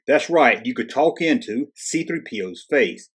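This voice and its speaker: A man's voice: mid-range voice, lively intonation, measured pace, slightly rough. A man hosting a toy-collecting video channel, narrating casually with wisecracking, sarcastic asides in a conversational way. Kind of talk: jokey, casual scripted narration.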